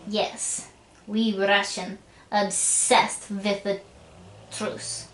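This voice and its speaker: A man's voice: high voice, level tone, extremely slow, fairly bright tone, pitched above the performer's own voice, heavily acted. A man voicing a boastful, crude Russian man in a comic monologue, with an exaggerated mock Russian accent and broken grammar. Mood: deadpan bragging. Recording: roomy echo with faint music.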